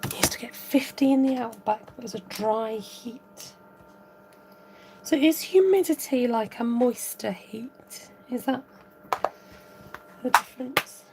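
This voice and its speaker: A woman hosting a live card-making tutorial, thinking aloud as she works, chatting with viewers and reading out their comments. Her voice is a little croaky. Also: on the high side, a little slow, long pauses, slight background noise, poor audio. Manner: rambling, thinks aloud while working. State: slightly croaky voice. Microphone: overhead camera above a craft table, voice from off-frame.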